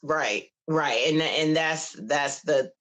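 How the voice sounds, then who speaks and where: A woman's voice: sits low, slow pace, fairly bright tone. A woman over fifty, a guest on a podcast, talking casually and often repeating words as she searches for what to say. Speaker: podcast guest, cigar brand ambassador. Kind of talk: casual chat.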